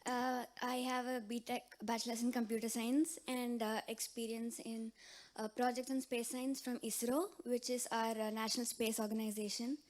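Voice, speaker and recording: A woman introduces herself politely and steadily. Her voice is high but keeps a very even, flat line. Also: normal speed. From India. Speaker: incoming student. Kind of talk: short self-introduction at a ceremony.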